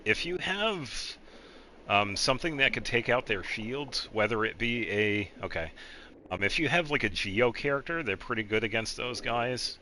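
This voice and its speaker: A man giving casual video game commentary as he guides another player, explaining things in a halting, meandering way. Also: mid-range voice, lively intonation, bright, forceful tone, slight background noise.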